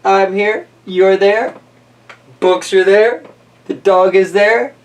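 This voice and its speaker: A man hosting a children's story reading, mixing casual, easygoing chat and musing asides with animated storybook narration. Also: sits high, takes it slowly, recorded from a distance.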